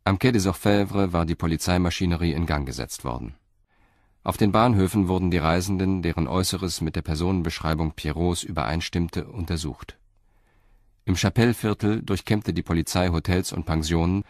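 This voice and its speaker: A man with a low voice narrates a crime radio play. His delivery is sober and measured, like scripted storytelling, in a close, dry recording. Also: dry recording.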